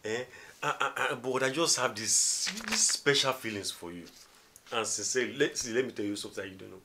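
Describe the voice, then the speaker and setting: A man with a Nigerian accent playing a lecherous, smooth-talking male teacher who keeps coaxing with "eh?". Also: mid-range voice, a little fast, background noise, lightly acted. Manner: coaxing, repeats "eh?". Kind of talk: film acting.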